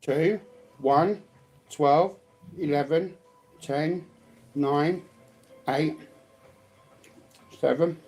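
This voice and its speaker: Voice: mid-range voice, drawn-out words, long pauses, a little mellow, rough recording. Matter-of-fact casual talker, faint music behind. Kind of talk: casual chat.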